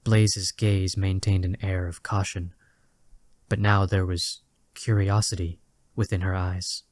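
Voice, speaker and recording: A man narrating a scripted dramatic story in a measured, literary storytelling manner. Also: sits low, little pitch movement, a little mellow, dry recording.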